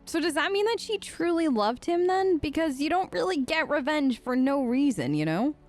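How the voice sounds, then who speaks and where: Casting: female let's player, fairly high voice, casual in-character chat with music underneath. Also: very animated, normal speed. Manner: conversational, informal, reacting in character. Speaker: let's play streamer. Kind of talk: casual improvised role-play in a game playthrough.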